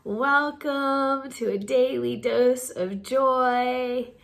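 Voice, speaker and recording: A woman's voice: high voice, very slow, creaky. A woman in her forties with a stuffy nose from a cold, hosting a laughter session from her living room, laughing through her words. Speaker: laughter yoga host. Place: sunny living room at home.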